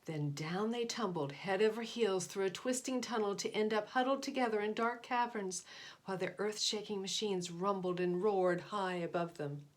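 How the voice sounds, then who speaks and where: A woman's voice: mid-range voice, normal speed. A woman reading a picture book aloud to children, stressing key words expressively and speaking aside to her listeners. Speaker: volunteer picture-book reader.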